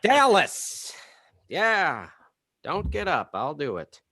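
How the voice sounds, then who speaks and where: A man's voice: fairly high pitch, wildly swooping pitch, slow pace, sharp tone, heavily acted. A man playing a wry, exasperated middle-aged dad, dry and sarcastic, in a comedy table read. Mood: delighted, then exasperated.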